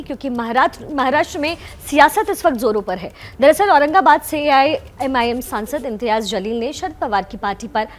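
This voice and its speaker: A woman anchoring television news and presenting stories. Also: high voice.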